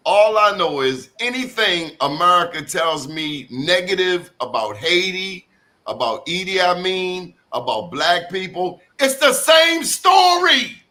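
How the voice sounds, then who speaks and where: A man's voice: high voice, big pitch swings, pushed and bright. A man in his sixties hosting a group discussion, speaking emphatically and often cutting in. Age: 60s. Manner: emphatic, quick to interject. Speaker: host.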